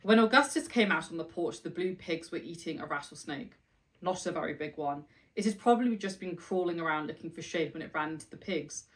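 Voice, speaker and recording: A woman's voice: on the low side, fairly quick, far from the mic, poor audio. A woman book vlogger chatting casually and reading opening passages of novels aloud with enthusiasm.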